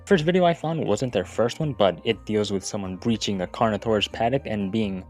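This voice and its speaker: A man's voice: fairly low pitch, fairly quick, a little mellow. A man narrating a video essay in a casual, informal way, with wry, sweary asides. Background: background music.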